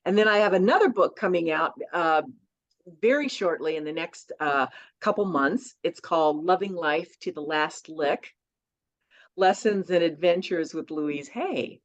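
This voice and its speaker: A woman author as a podcast guest, telling stories in a lively way with many fillers. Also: lowish voice, a little slow. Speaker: children's book author and guest. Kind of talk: conversational podcast interview. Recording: dull lo-fi audio.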